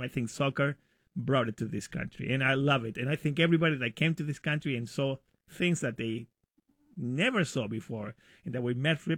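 A man's voice: medium pitch, no echo. A middle-aged man with an Argentine accent talks eagerly as a radio guest. He speaks with a mid-range voice and stops and starts now and then. The sound is dry and close. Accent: Argentine Spanish. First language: Spanish. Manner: enthusiastic, warm storytelling with hesitations. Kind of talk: casual radio interview.

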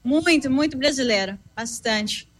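A high-voiced woman with a southern Brazilian gaúcho lilt answers a reporter's questions outside a stadium. She talks cheerfully and with confidence. The sound is open and outdoor. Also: a little slow. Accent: Brazilian Portuguese, southern (gaúcho). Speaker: fan interviewed.

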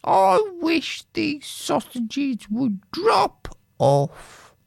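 A woman's voice: huge pitch swings, very slow, vocal fry, no room sound, studio quality, full character voice. A woman voicing a poor, fretful peasant wife who wails and moans melodramatically. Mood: resigned. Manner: shrill and melodramatic, moaning. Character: poor, wailing peasant wife, middle-aged, fretful and dramatic.

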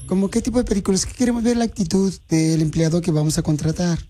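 A man's voice: sits high, fast, dark tone, soft background music. A man hosting a radio prank call, playing a mock-serious interviewer with a teasing edge.

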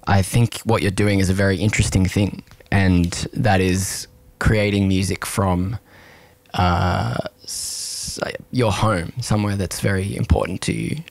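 A man hosting a show, asking his guest questions in a measured, hesitant way. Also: low voice, creaky, no echo. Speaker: radio show host. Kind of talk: interview questions.

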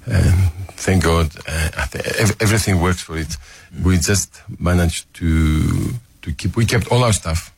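An older man with a Greek accent, a restaurateur talking haltingly about his career in an interview. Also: sits low, vocal fry, husky, no room sound. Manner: halting, restarts, self-deprecating. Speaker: restaurateur guest. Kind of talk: casual interview.

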